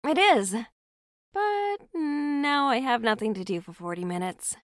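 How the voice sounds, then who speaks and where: A woman's voice: high-pitched, expressive, measured pace, no echo, studio quality. A woman playing a friendly, slightly flustered young working woman in an audio drama, polite and a little hesitant. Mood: curious.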